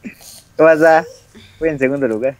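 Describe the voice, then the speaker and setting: A man's voice: on the high side, moderate pace, no echo. A young man chatting playfully while playing a video game, teasing and laughing a lot. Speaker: gamer. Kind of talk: casual chat while gaming.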